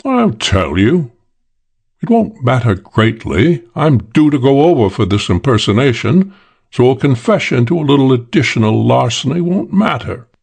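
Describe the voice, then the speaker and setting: Guy doing english con man in an audiobook, gravelly and husky, drawls it out all cocky. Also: medium pitch, very animated, moderate pace, a little mellow, exaggerated voice. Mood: matter-of-fact. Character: suave English con man, middle-aged man, cool and boastful. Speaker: character in an audiobook. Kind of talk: audiobook character voice.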